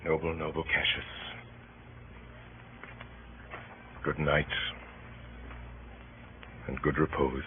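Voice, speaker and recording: An actor playing a grave, weary Roman nobleman who speaks gently, in measured, solemn verse in the old radio Shakespeare manner. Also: very deep voice, flat delivery. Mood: somber. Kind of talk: 1930s radio Shakespeare acting. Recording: muffled, noisy old radio recording.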